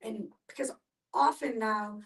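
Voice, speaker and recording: Woman, higher voice, measured explainer leading a group discussion, picked up from some distance. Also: fairly even intonation. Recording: distant from the microphone.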